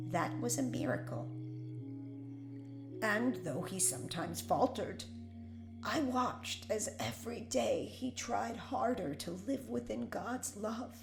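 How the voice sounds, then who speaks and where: Slow, gravelly, defiant Puritan wife. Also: female voice, medium pitch. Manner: solemn, deliberate, archaic phrasing. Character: defiant, devout older imprisoned Puritan wife, proud and unbending. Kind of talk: period audio drama acting. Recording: roomy echo.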